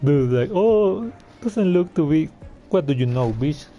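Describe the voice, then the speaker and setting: A man's voice: on the high side, expressive, unhurried, warm tone, highs cut off, faint music behind. A man giving casual, informal, sweary commentary while he plays and reacts to a video game. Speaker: gamer playing and reacting on video.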